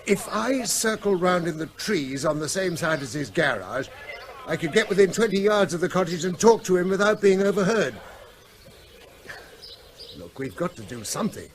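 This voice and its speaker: A man's voice: sits high, sing-song, moderate pace, slight background noise. An actor playing an earnest, persuasive man, a concerned friend, in a naturalistic radio drama. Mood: quiet, determined. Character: earnest, persistent male friend of a gunman, pleading calmly.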